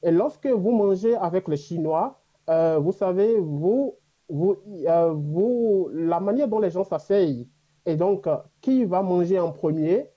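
A man from Africa whose first language is a Gbe language, answering interview questions reflectively with many pauses and fillers. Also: high voice, dull audio. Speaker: guest.

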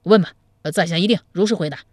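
A man storyteller voicing an anxious, gullible young male suitor who pleads quickly and fretfully. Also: sits high, no echo, studio quality. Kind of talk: storytelling, acted dialogue.

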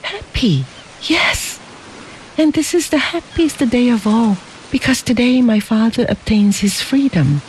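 A reader voicing a gentle, devoted young wife in a storybook, speaking softly, tenderly and earnestly. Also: medium pitch, expressive, measured pace, slight background noise, pitched above the performer's own voice, natural voice, low-quality recording.

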